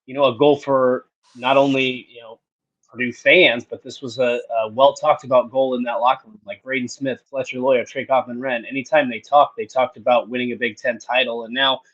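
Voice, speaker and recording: A man's voice: mid-range voice, little pitch movement, a bit bright, lo-fi sound. Man in his thirties talking sports analysis. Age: 30s. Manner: measured, explanatory, citing stats. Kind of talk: casual sports analysis chat.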